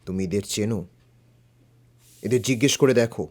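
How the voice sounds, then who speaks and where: A man playing a stern, principled detective in an audio drama, speaking calmly and deliberately. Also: lowish voice, natural voice. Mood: calm reproach. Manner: calm, firm, deliberate. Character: stern, principled veteran male detective, unafraid. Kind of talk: Bengali audio drama acting. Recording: music behind the voice.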